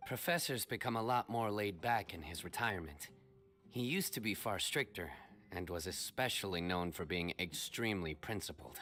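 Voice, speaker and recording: A stoic young enforcer in a video game. His voice is deep, formal and deadpan, each line measured. Soft music plays underneath. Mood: calm, serious.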